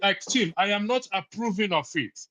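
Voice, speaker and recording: A man's voice: high-pitched, animated, moderate pace, pushed and bright, no room sound. Man moderating, cutting in repeatedly. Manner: keeps interjecting to regain the floor. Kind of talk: moderating a heated debate. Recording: dry, dull lo-fi call audio.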